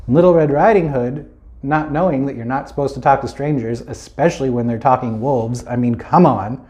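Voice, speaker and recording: A man's voice: medium pitch, normal speed, a little mellow. A man telling a children's fairy tale informally, as a storyteller, with playful, chatty asides.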